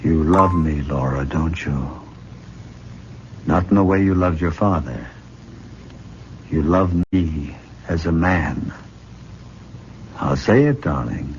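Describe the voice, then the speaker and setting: An actor playing a possessive, condescending middle-aged professor and hypnotist in an old-time radio drama, coaxing deliberately on a muffled, noisy old recording. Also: male voice, extremely low pitch. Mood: hypnotic coaxing.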